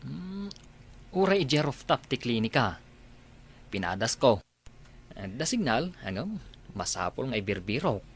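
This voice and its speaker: A clinic worker in a radio drama, played by a man, with an ordinary mid-pitched voice. He is deferential and addresses his boss politely. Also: sing-song, measured pace, gravelly, long pauses, slightly rough, exaggerated voice.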